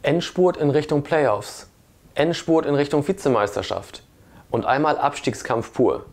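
A man's voice: fairly high pitch, measured pace, darkish tone. Man presenting scripted sports news. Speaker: sports reporter.

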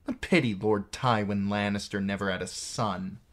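A man voicing a proud, bitter highborn woman in an audiobook, taunting with swooping emphasis. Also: normal speed, fairly bright tone, lightly acted. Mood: mocking, scornful.

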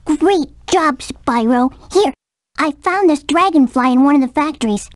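A small, friendly monastery defender from a fantasy game. The voice is extremely high and dry, with a direct, encouraging manner. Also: female voice, lively intonation, a little slow, dry recording. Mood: earnest, encouraging. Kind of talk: video game character dialogue.

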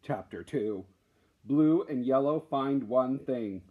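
A man in his forties speaks like a teacher at story time, encouraging and playful. His pitch swings widely while his overall pace stays unhurried, recorded indoors at a short distance from the device. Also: phone-quality sound. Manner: animated, encouraging. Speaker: primary school teacher. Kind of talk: children's read-aloud with playful voices. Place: small classroom, indoors.

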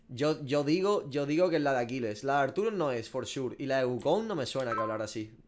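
Male streamer, twenties, Spanish accent from Spain, informal sweary game reactions, average pitch. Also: animated, normal speed, crowd noise. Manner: informal, slangy and sweary, thinking aloud. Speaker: gaming streamer. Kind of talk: casual reaction commentary. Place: small home room.

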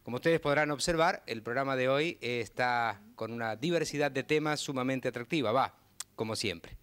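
A man's voice: mid-range voice, normal speed, a bit bright, smooth. A man in his thirties hosting a TV talk show, questioning a guest with handheld mic in a studio and dropping brief encouraging interjections.

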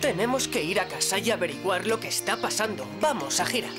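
A woman's voice: medium pitch, animated, fairly quick, over loud music, lightly acted. A woman voicing a serious, urgent young boy in a cartoon. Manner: earnest, urgent. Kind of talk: animated cartoon dub.

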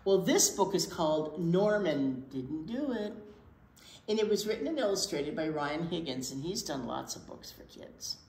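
A woman in her sixties reading a picture book aloud to children, with dramatic pauses and emphasis. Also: on the low side, expressive, a little mellow. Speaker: children's storytime reader. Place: indoor children's library room. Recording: roomy space with faint background noise.

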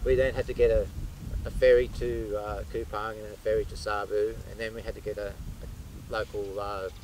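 Low-voiced man speaking haltingly in the wind. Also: level tone, darkish tone, low-quality recording. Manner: halting, many fillers and restarts. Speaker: guest who recovered the yacht. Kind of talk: casual interview, telling what happened. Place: beach by the sea, outdoors.

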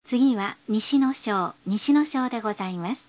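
A woman's voice: on the high side, normal speed, a little mellow. A woman reading scripted bus stop announcements in a polite, formal, carefully enunciated way.

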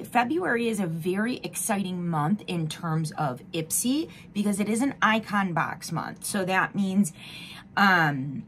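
A woman in her thirties from Michigan, slightly hoarse, chatting casually through a beauty box unboxing at home. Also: medium pitch, fairly bright tone, slight background noise. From Michigan, USA. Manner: chatty, frequent ums and uhs. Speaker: beauty vlogger. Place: home room with wooden wall backdrop.

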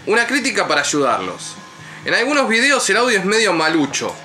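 A young Argentine man chats casually in a group. His high voice is strikingly sharp and swings in a lively way. A camera across the table in a small room records him. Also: fairly quick, faint music behind. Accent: Argentine Spanish. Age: young adult. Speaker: show regular. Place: small tatami inn room, indoors.

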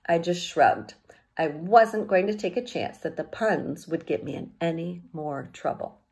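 A middle-aged woman reading a children's novel aloud as an expressive storyteller, recorded at home on a handheld-distance device. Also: lowish voice, measured pace, gravelly. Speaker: teacher reading a book aloud. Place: small home room with bookshelves.

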